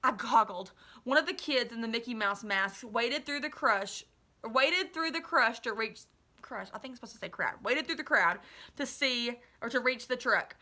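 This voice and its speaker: A woman's voice: a little high, animated, normal speed, pushed and bright. A woman in her thirties reading a children's novel aloud expressively, like a teacher, with dramatic delivery and the odd self-correction, recorded in a living room. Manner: dramatic delivery, slips and corrects herself with asides. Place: small living room at home, indoors.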